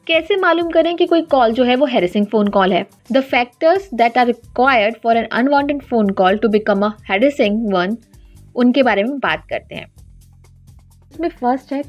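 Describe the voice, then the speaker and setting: Indian lady teaching in two mixed languages, kinda slow n deliberate, highish voice, music behind. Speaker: legal educator, advocate. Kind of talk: explanatory lesson mixing two languages. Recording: dull lo-fi audio with background music. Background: background music.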